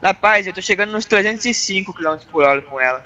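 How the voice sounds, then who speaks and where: A man's voice: high-pitched, expressive, fast, bright, forceful tone, dull audio, a little hiss or hum. A man in a game roleplay playing a mechanic, drawling and calling everyone rapaz. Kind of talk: in-character roleplay.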